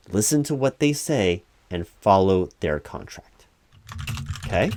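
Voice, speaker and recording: A man playing a weary, politely insistent recruiting manager in a one-sided interview roleplay, over-explaining in a halting, repetitive way at normal speaking volume. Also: sits low, background noise. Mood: patient advising. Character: exasperated male recruiting manager, polite but increasingly weary. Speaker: job interviewer.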